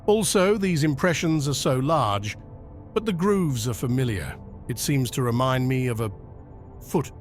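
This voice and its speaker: A careful, watchful scout in a motion comic, played by a man. His voice sits at an average pitch and he talks in a wary, measured way. Faint music runs underneath. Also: lively intonation, natural voice. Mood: puzzled. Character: cautious, observant young male scout. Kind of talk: motion comic dramatic acting.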